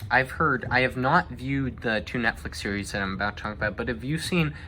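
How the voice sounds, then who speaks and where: Guy hosting a chatty movie talk, bright forward voice, halting delivery, bit of background noise. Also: mid-range voice. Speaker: host. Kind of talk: casual film discussion.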